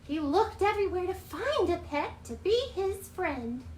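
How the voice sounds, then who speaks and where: Very high, chirpy puppet bird. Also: female voice, big pitch swings, unhurried, a little mellow, heavily acted. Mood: bright storytelling. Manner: theatrical, exclaiming, with droll asides. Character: chirpy, excitable female puppet bird who reads storybooks. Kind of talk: casual read-aloud with playful asides on a livestream. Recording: roomy echo, faint background noise.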